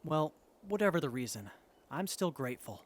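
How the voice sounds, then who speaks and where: A man's voice: fairly high pitch, big pitch swings, moderate pace. A man playing an earnest, hesitant, kind-hearted wasteland survivor in an audio drama dialogue. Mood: grateful. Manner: hesitant, sincere, soft-hearted.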